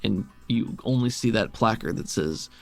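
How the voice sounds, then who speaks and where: Male game master, gravelly fry in a mid-range voice, casual descriptive narration over faint music. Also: on the low side, normal speed.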